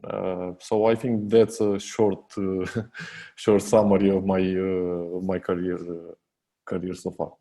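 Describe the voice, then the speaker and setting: Slow, low-voiced Romanian-accented man. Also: flat delivery, warm tone. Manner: hesitant, many fillers and restarts, laughs often. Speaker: guest, software company co-founder. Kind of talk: casual interview chat.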